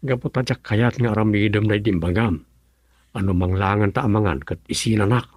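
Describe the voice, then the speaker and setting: An actor playing a poor, devoted husband who pleads and defends himself in an Ilocano radio drama, with a gravelly, husky voice. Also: male voice, on the low side, brisk, darkish tone, no room sound, studio quality, natural voice. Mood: pleading, devoted.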